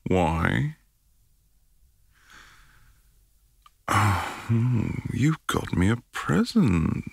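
Male actor as affectionate young boyfriend, ASMR roleplay, breathy murmur, creaky fry, swooping intonation, close dry mic, playful. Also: fairly low pitch, fairly bright tone, dry recording, full character voice. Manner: breathy, murmuring, lingering hums and pauses. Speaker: audio roleplay performer. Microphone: close, dry microphone.

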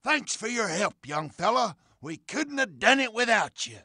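An actor playing a good-natured, folksy stout train engineer in an animated video game. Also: male voice, measured pace, slightly rough, no room sound, clean studio sound, exaggerated voice. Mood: hearty, encouraging. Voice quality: high-pitched.

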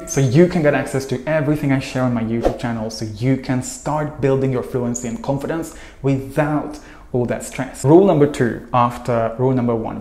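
A man, teaching English to camera in an earnest, steady way. His voice sits at a middling pitch. The lapel-mic sound carries a noticeable roomy echo from a small room at home. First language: Hungarian. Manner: earnest, steady, listing points in long runs. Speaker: English coach. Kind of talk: scripted video lesson to camera.

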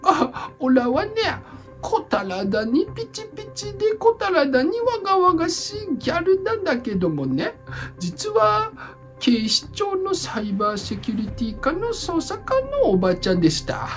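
A woman's voice: very high voice, wildly swooping pitch, normal speed, soft background music, voice pushed up, exaggerated voice. A woman voicing a chatty old auntie from the Tsugaru countryside who is secretly a cyber police investigator, speaking in thick Tsugaru dialect with a folksy, rambling storyteller manner. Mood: sly. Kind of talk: comedic voice drama.

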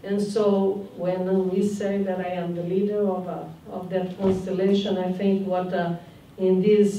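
Older woman with a mellow, halting voice. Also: on the low side. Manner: searching, halting, with "no?" tags. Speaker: panelist. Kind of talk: panel discussion talk. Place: conference room, seated at a panel table. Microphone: handheld mic. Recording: roomy hall from a distant mic.

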